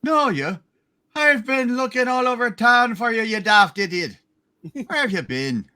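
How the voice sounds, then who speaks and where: Radio play small-town policeman, played by a woman: exasperated, friendly, forceful and animated. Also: on the high side, measured pace. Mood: exasperated concern. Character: brash, exasperated but friendly male small-town cop. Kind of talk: hammed-up live radio play reading.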